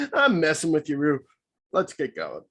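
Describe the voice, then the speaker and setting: A man's voice: fairly high pitch, a bit bright, husky, heavily acted. A man playing a cocky, teasing cop in a drama table read. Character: cocky, teasing male cop ribbing a rookie.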